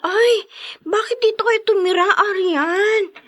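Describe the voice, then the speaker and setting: An actress playing a nosy, skeptical friend in a radio drama, questioning and exclaiming with doubt. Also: female voice, very high voice, slow, fairly bright tone, exaggerated voice. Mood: nosy, doubtful. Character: nosy, skeptical female friend of a young wife.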